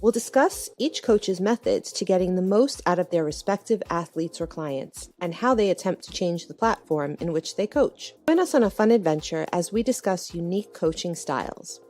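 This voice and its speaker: Lady doing the show intro, warm-ish mellow voice, soft music under it. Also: lowish voice, animated, normal speed, hardly any pauses. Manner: upbeat, promotional reading. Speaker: podcast intro announcer. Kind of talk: scripted announcement. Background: background music.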